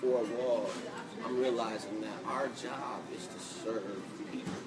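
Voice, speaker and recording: A man, a guest musician, speaking softly and hesitantly in a coffee shop interview. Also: medium pitch, fairly even intonation, measured pace. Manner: soft-spoken, hesitant. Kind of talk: interview chat. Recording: distant mic in noisy cafe. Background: loud cafe crowd.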